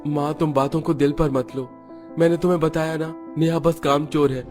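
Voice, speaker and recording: An exasperated young husband in a family story, acted by a man. His pitch is pushed up and he complains with emphasis. Faint music sits behind him. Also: fairly even intonation, normal speed, darkish tone, lightly acted. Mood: exasperated complaint. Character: exasperated younger son scolding his wife to his mother.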